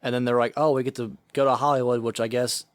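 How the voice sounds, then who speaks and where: A man's voice: mid-range voice, little pitch movement, fast, no echo. A man co-hosting a podcast in casual chat, wry and loose, dropping "bro" and "man.". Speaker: podcast co-host.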